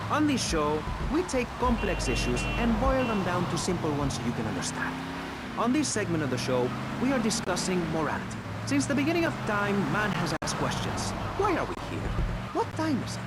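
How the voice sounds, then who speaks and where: An actor playing a smug, excitable public radio talk show host, with a pompous, theatrical delivery. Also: male voice, sits high. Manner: pompous, self-important, theatrical. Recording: loud traffic and engine noise behind. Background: vehicle and street noise from gameplay.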